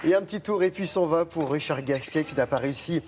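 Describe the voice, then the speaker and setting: A man's voice: a little high, fast talker, background noise. Man reading sports news with slips. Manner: stumbles over names and laughs at himself. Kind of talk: morning TV sports news, joking with co-host.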